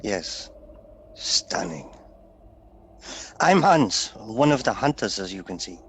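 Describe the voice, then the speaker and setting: An actor in an audio play playing a mysterious, welcoming hunter who lives inside a painting. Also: male voice, lowish voice, sing-song, a little hiss or hum, full character voice. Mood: amused, welcoming. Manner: slow, welcoming.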